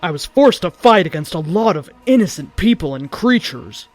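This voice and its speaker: Higher-pitched man narrating a story. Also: very animated, moderate pace, no echo. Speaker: host reading fan fiction. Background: background music.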